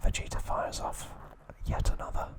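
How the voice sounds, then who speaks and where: A man whispering ASMR trivia narration in a soft, steady voice, with casual asides now and then. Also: normal speed. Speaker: ASMR creator.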